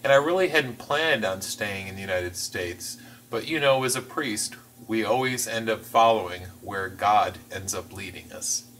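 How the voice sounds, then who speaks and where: A man voicing a signed interview as interpreter, phrasing things in a measured, careful way with the occasional restart. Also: on the low side, fairly bright tone, recorded from a distance, slight background noise, low-quality recording. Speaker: sign language voice interpreter.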